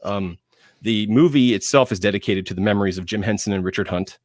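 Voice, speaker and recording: A man speaking as a panelist in enthusiastic film talk, emphatic, with dramatic pauses and phrases repeated for effect. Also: on the low side, no room sound, clean studio sound.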